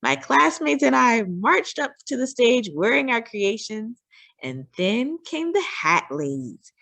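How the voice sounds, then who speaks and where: A woman reads a picture book aloud as a storyteller for children. Her pace is slow and deliberate, and her pitch moves widely through the narration. The delivery is dramatic and expressive. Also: gravelly, dry recording. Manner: deliberate and dramatic, acting out dialogue.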